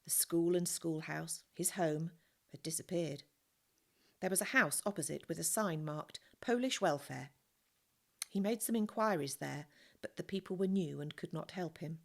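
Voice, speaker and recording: Woman reader, low and gravelly, steady expressive storytelling. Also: moderate pace. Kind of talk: reading a novel aloud.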